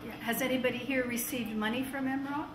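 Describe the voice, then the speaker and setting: Female meeting host, fairly high voice, informal, picked up from a distance. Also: fairly even intonation, fast. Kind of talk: informal question-and-answer discussion. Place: echoey meeting room. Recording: echoey room, distant mic, noisy.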